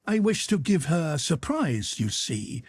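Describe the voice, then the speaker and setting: A fawning young officer, as a male reader puts him on, wheedling and overly polite, his voice lifted above the narrator's and drawn out slowly. The recording is dry and close. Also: a little high, big pitch swings, husky, dry recording, pitched above the performer's own voice, heavily acted. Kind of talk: audiobook character voice.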